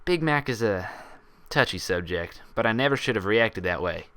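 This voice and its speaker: Man acting cowgirl pony mare, Southern American drawl, folksy, friendly, proud, touchy, bright forceful tone, storybook character. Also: lowish voice, animated, normal speed, smooth. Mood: remorseful.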